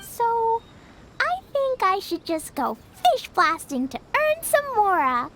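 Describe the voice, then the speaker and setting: A woman's voice: very high-pitched, big pitch swings, slow pace, a bit bright, full character voice. A woman voicing a small, cheerful and innocent girl who chatters in a bubbly childlike way. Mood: chatty. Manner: bubbly, childlike, says "super duper" and "Mister". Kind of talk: game voice acting. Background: game music.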